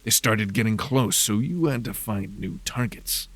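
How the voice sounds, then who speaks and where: A man's voice: on the low side, a little slow, a bit bright, no room sound. A man voicing a cold, vengeful supernatural detective in a horror audio drama, with a menacing, contemptuous manner. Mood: cold accusation.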